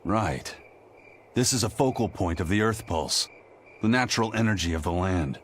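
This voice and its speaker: Deep, brooding pirate first mate. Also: male voice, expressive, normal speed, a little hiss or hum. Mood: calm, serious. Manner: gruff, serious, terse. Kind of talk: English video game voice acting.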